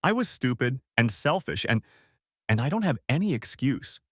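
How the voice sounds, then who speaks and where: A guilty wife acted out by a male narrator pleads in a halting, tearful way. The voice is pitched up a little and very expressive, in a dry dramatic story reading. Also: husky, no echo. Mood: desperate remorse. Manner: pleading, halting, sobbing delivery.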